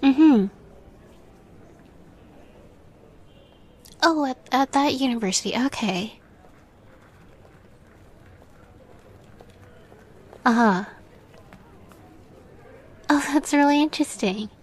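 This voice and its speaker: A woman playing a shy, sweet young catgirl roommate in a girlfriend roleplay, soft-spoken and hesitant, often slipping into a whisper. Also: sits high, expressive, unhurried. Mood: polite, chatty. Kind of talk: girlfriend roleplay audio acting.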